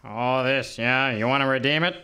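Man playing terse pawnshop clerk, medium pitch. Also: moderate pace, pushed and bright, smooth, close to an everyday voice. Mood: gruff, indifferent. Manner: terse, offhand. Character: plain-spoken male pawnshop clerk. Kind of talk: old-time detective radio drama acting.

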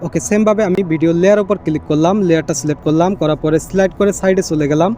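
Guy doing a tech how-to, talks fast, kinda high soft-edged voice, repeats steps, throws in english words. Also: fairly even intonation, soft background music. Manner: repetitive step-by-step explaining with English catchwords. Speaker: tech tutorial host. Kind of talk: casual screen-recorded how-to narration.

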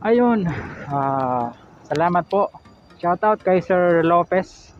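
A Filipino-accented man narrates a road vlog in a high, easygoing voice, full of polite fillers and little tag questions. He speaks outdoors from a camera on the move. Faint music sits underneath. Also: animated, slow, highs cut off. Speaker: vlogger.

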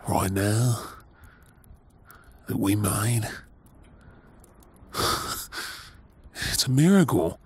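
An Australian man playing a tender, doting young husband in an intimate ASMR audio roleplay, with a soft, breathy voice. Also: lowish voice, heavily acted. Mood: awed, tender.

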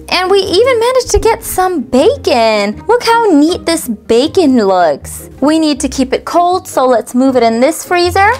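Female toy-channel host, cheerful sing-song delivery, high and lively, unhurried. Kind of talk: voice-over toy unboxing narration.

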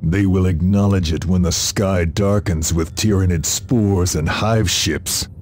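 Deep, stern marine sergeant. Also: male voice, steady tone, unhurried, a little hiss or hum. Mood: scornful. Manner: stern, forceful phrasing. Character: stern, scornful, battle-hardened male space marine sergeant. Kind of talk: scripted video game dialogue.

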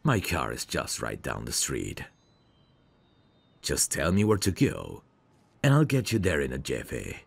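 Man playing very deep, gravelly suave mafia heir. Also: wildly swooping pitch, a little slow, pauses often, dry recording, heavily acted. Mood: charming, courteous. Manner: smooth-talking, courtly, flirtatious. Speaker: voice actor. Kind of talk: ASMR roleplay, intimate one-sided dialogue.

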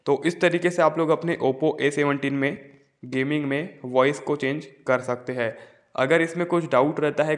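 A man giving a casual phone tutorial, explaining each step in a repetitive, instructional way. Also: fairly high pitch. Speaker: tech support YouTuber.

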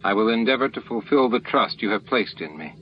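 A man's voice: on the low side, lively intonation, a little fast, muffled recording, slight background noise, close to an everyday voice. A man voicing an earnest young male alien official in a formal, persuasive manner for an audiobook. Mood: respectful.

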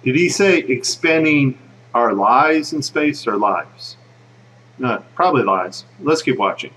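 A man giving casual, speculative commentary over footage in a conversational way, with a slightly husky voice. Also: medium pitch, lively intonation, a little slow, recorded from a distance, background noise. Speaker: video narrator.

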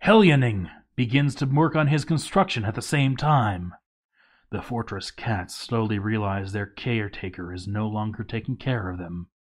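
A man with a mid-pitched voice narrates a fantasy game chronicle. He reads it as scripted storytelling, with a dramatic delivery.

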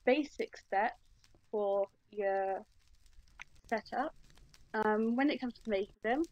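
A woman's voice: fairly high pitch, low-quality recording. A woman talking through a game tutorial step by step, casual and chatty, recorded at her computer. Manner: chatty, explains step by step with fillers.

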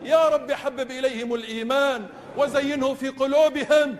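Long echoing reverb, very high-pitched man, imam's chanted supplication, impassioned pleading, repeated phrases. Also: steady tone, brisk. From Tunisia. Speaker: imam leading congregational supplication. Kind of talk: Islamic supplication prayer in classical Arabic, chanted oratory.

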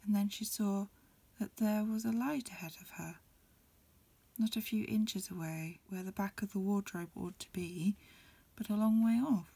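A woman reading a storybook aloud as ASMR in a breathy, mostly whispered voice, a little hesitant, with small stumbles. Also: medium pitch, fairly even intonation, measured pace, warm tone, no room sound. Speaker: amateur reader. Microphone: close recording, lo-fi home setup.

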